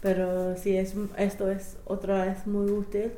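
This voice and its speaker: A woman's voice: medium pitch, completely flat delivery, measured pace, mellow, roomy sound, a little hiss or hum. A young woman, a native English speaker, answering interview questions as a language learner, hesitant with many fillers and restarts. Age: young adult.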